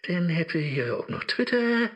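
An actor playing a sly, mocking digital Mephisto in a rhyming radio play, theatrical and taunting, with a Low German accent. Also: male voice, big pitch swings, moderate pace, fairly bright tone, exaggerated voice. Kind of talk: satirical radio play in verse.

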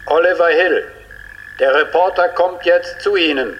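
An actor playing a stern police officer calling out formal, commanding surrender orders in a radio crime drama. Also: male voice, on the high side, measured pace, highs cut off, natural voice. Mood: stern ultimatum. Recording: long echo, outdoor hail, hissy.

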